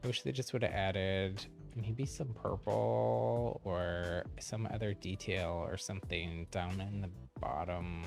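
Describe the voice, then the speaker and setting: A man hosting a game livestream, explaining things casually in a rambling, easygoing way with a gravelly voice. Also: deep voice, fairly even intonation, slow pace, hardly any pauses, soft background music.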